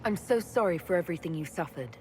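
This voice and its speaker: A woman's voice: lowish voice, expressive, fast, a little mellow, faint music behind, close to an everyday voice. A woman playing a resolute young elf mage heroine in a fantasy game, firm and composed. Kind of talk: dramatic fantasy game voice acting. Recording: echoing hall, noisy ambience.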